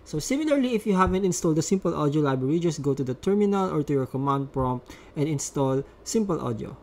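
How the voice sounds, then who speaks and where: A man's voice: fairly high pitch, animated, quick pace, darkish tone. A man presenting a step-by-step instructional screencast as a tutorial narrator.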